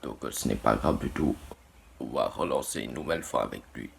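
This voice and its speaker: A man's voice: a bass voice, animated, a little fast, gravelly, a little hiss or hum. A man narrating his video hesitantly, with frequent fillers and broken sentences. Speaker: vlogger.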